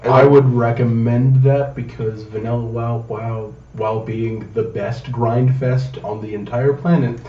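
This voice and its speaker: A man's voice: mid-range voice, fairly even intonation, unhurried, hardly any pauses. A young man co-hosting a casual gaming podcast, talking with swearing and hyperbole. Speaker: podcast co-host. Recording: distant echoey dull mic, noisy room.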